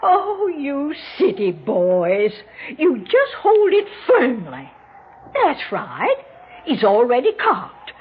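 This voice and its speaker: A woman's voice: high voice, wildly swooping pitch, slow, narrow, tinny audio, slight background noise, full character voice. An actress playing a cranky, bossy old mother in a 1960s radio drama, scolding in genteel, old-fashioned phrases. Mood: brisk, bossy. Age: older. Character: imperious, cranky old mother, darkly matter-of-fact about death. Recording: band-limited 1960s radio recording with music.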